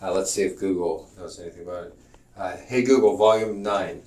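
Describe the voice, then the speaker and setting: A man's voice: low voice, a little fast, darkish tone, distant mic. A man teaching a live-streamed geography class, rambling with lots of fillers, restarts and joking asides.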